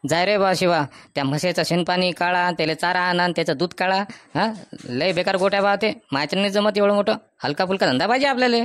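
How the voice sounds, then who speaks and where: Cartoon village bachelor, male, voiced by an actor: defensive grumbler, Varhadi dialect, mid-range pitch, complaining. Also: fast, fairly bright tone, close to an everyday voice. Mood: grumbling. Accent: Varhadi Marathi from Vidarbha.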